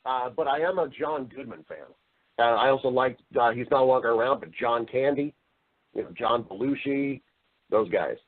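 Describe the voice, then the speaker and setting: A man's voice: medium pitch, moderate pace. Man in a phone-like radio interview. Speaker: interview guest, voice-over artist and actor. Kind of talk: casual conversational interview, self-deprecating humour.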